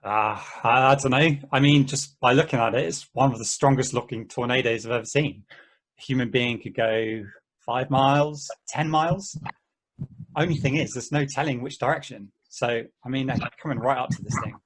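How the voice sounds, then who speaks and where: A man's voice: medium pitch, normal speed, lightly acted, rough recording. A man reading the part of a laid-back, stubborn friend in a casual, slangy play table read. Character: laid-back, stubborn buddy proud of his old truck.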